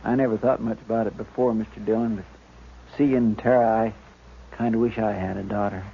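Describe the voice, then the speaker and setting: An actor playing a loyal, simple-hearted frontier deputy with an American accent, folksy and deferential, in a 1950s radio western. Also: male voice, lowish voice, fairly even intonation, moderate pace, close to an everyday voice. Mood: subdued, wistful. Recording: muffled, noisy 1950s radio recording.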